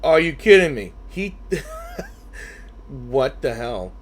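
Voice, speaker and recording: Animated gaming streamer, very swingy pitch. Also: very slow, noisy background. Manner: animated, reactive. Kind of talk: casual game playthrough commentary, reading and reacting. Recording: game music faintly behind.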